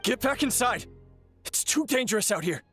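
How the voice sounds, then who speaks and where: An actor playing a grave, weary male warrior in a video game, a sole survivor with a husky voice. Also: very high-pitched, expressive, normal speed, fairly bright tone. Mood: grim resolve. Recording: game music behind the voice.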